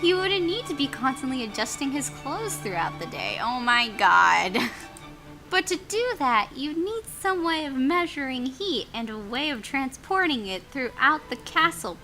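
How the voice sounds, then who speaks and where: A woman's voice: high-pitched, pushed and bright, low-quality recording. A woman co-hosting a casual let's play, reading a story game aloud expressively and adding amused asides.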